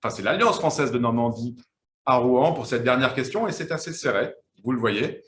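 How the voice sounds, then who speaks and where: A man hosting a live quiz, lively and chatty, slowing down to build suspense. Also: mid-range voice, echoey room. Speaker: quiz show host.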